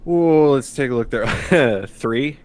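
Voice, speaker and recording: A man's voice: mid-range voice, expressive, slow, darkish tone. A man chatting casually as a game player, informal and joking at his own expense. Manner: self-deprecating, joking, informal. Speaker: player on a tabletop game stream. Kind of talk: casual chat.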